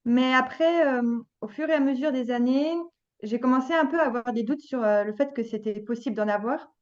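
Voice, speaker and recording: A woman's voice: a little high, brisk, a little mellow, muffled recording. A woman in her thirties telling a personal story as a podcast guest, hesitant, with many fillers and restarts. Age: 30s. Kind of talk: personal story, casual chat.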